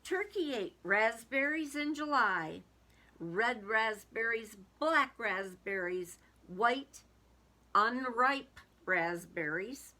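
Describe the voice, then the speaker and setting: A woman reads a picture book aloud for young children in a bright, high voice. Her delivery is slow and deliberate, with dramatic emphasis on single words and explanatory asides.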